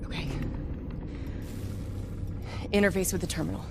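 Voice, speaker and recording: An actress playing a brave, focused and selfless special-ops soldier in a dramatic video game cutscene. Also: female voice, medium pitch, steady tone, normal speed, vocal fry, background noise, close to an everyday voice. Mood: focused, grave. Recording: game music behind the voice.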